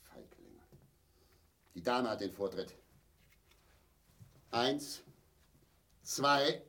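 An actor playing a bitter, volatile East German husband in a grotesque radio play, erratic between muttering and shouting. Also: male voice, mid-range voice, lively intonation, extremely slow, a little hiss or hum, exaggerated voice. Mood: aggressive, mocking.

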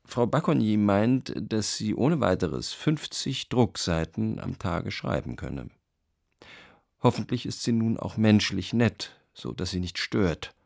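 Deep, gravelly genial 1930s publisher. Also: male voice, expressive, slow pace, darkish tone, exaggerated voice. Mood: genial, eager. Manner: chatty, formal old-fashioned courtesy. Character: genial, effusive male 1930s German publisher. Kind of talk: radio play, dictated letters.

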